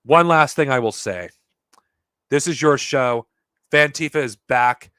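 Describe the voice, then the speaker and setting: Podcast host, male, mid-pitched, worn hoarse voice, swears freely and restarts sentences, dry close mic. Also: unhurried, pushed and bright, no room sound. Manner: heated, profane, rambling with restarts. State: losing his voice. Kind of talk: unscripted monologue to viewers.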